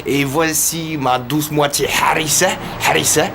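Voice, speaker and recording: A man's voice: fairly high pitch, fairly even intonation, a little slow, gravelly. A man voicing a reluctant, grumbling cartoon character who complains about a bad plan. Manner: grumbling, whiny. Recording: music bed behind voice.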